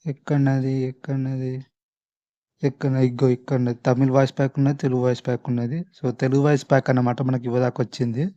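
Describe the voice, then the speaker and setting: Fast-talking man with Telangana Telugu accent. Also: medium pitch, flat delivery, mellow, lo-fi sound. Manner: informal, chatty, addresses viewers directly. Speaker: gaming video host. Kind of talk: casual gameplay commentary. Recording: faint background noise, clicking sounds.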